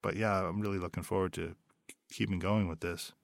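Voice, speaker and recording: A man's voice: sits low, fairly even intonation, brisk, gravelly, no echo, studio quality. A man being interviewed about producing an audio drama, talking in a rambling, hesitant way. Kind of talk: interview guest.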